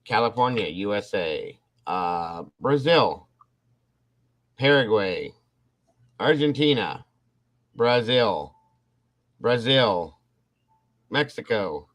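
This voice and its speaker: A man hosting a casual livestream, rambling and restarting his sentences as he talks to his viewers. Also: fairly low pitch. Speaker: livestream host. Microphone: computer mic on a livestream.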